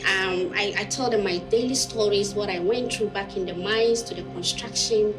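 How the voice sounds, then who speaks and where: A woman's voice: medium pitch, fairly even intonation, normal speed, over music, poor audio. A woman from Ghana, a safety professional, speaking earnestly about her career in an interview. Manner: earnest, with frequent "um". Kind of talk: interview talk.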